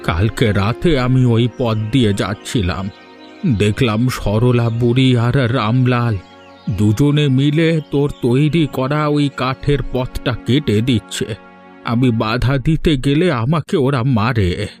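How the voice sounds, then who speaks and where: A man's voice: mid-range voice, darkish tone, faint music behind, natural voice. A man voicing a righteous, frail old village uncle in a cartoon, admonishing in manner. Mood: weak. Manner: slow, admonishing. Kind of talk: cartoon voice acting.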